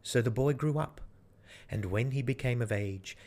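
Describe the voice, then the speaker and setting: A man's voice: medium pitch, normal speed, warm tone. A man reading a fairy tale as a storyteller, in scripted narration.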